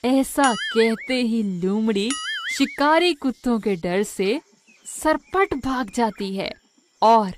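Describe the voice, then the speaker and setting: A woman's voice: on the high side, animated, slow pace, background noise. A woman narrating a scripted moral story for children.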